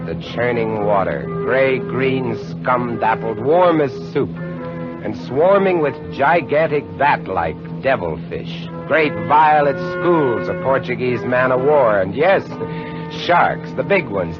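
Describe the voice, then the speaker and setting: An actor narrating as a lighthouse keeper with vivid, dramatic storytelling in a 1950 radio drama. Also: male voice, medium pitch, natural voice. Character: lighthouse keeper recounting a horror, male, adult. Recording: dull old radio broadcast with music.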